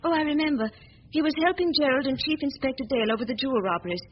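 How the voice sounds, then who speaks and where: An eager, well-spoken young woman in a vintage radio drama, always asking questions. Her voice is high and lively. It comes through a muffled, faintly hissy 1940 recording. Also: racing. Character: earnest young woman, detective's companion. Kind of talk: 1940s radio acting.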